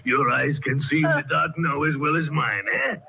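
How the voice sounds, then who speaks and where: An actor playing a deranged, gleeful sewer dweller with a French accent in an old-time radio horror play, muttering and bullying theatrically. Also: male voice, on the high side, fairly even intonation, moderate pace, creaky. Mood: gloating. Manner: theatrical, muttering, punctuates with questioning "eh". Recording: noisy, muffled old radio recording.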